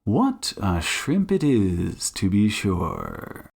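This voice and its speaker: A suave, commanding detective, acted by a man, speaks slowly with wide, theatrical swings in pitch. He is shrewd and politely condescending, with a mocking edge, as in a dramatized audiobook. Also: huge pitch swings, creaky, a little mellow, slightly rough, exaggerated voice. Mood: scornful. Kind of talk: audiobook character acting.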